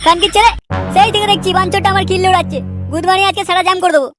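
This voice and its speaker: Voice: extremely high pitch, fairly even intonation, rapid-fire, over music. A performer voicing a hot-headed, lazy troublemaker in a Bengali comedy cartoon, crude and blustering. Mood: quarrelsome.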